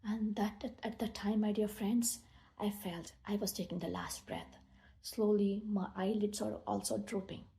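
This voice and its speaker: Mellow-voiced Indian woman telling her story. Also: mid-range voice, level tone, moderate pace, creaky. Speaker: meditation speaker. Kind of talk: personal storytelling talk.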